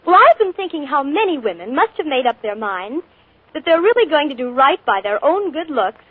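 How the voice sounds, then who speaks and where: A woman's voice: sits high, sing-song, a little fast. A woman reading a scripted radio commercial, chirpy and persuasive, on a tinny old broadcast. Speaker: commercial spokeswoman.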